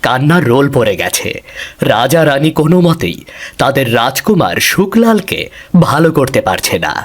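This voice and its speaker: A man's voice: medium pitch, big pitch swings, moderate pace. A man giving scripted narration for a fairy-tale cartoon.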